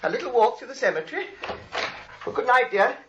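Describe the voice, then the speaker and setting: An actor playing a frantic, exasperated writer, stammering and emphatic, in 1940s radio comedy style. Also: male voice, extremely high pitch, animated, brisk, bright, forceful tone, slight background noise, heavily acted. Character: frantic, exasperated male writer in a mad family. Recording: dull old radio recording.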